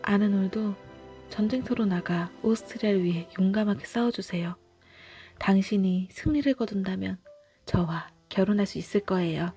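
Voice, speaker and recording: A woman's voice: mid-range voice, moderate pace, dark tone, soft background music, close to an everyday voice. A woman voicing a princess in love in a storybook, speaking persuasively. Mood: coaxing.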